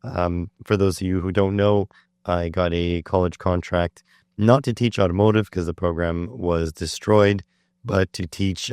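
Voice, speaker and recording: A middle-aged man with a low, smooth voice that is slightly hoarse today hosts a solo podcast. He explains things in a rambling way, full of uh and um, and he sounds close and dry with no room echo. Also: a little mellow. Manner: rambling, with frequent uh and um restarts. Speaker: podcast host. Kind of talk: solo educational podcast talk.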